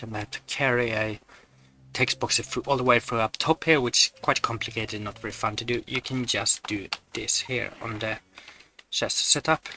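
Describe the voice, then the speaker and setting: A man's voice: mid-range voice, sharp tone, slight background noise. A man giving matter-of-fact, step-by-step commentary on video game glitches over his gameplay. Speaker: gamer presenting glitches.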